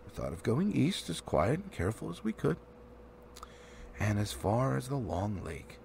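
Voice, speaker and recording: A man's voice: mid-range voice, huge pitch swings, normal speed, vocal fry, darkish tone. A man voicing a proud, stern male dwarf leader, pompous and impatient, in a storybook reading. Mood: doubtful, grave. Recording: faint background noise.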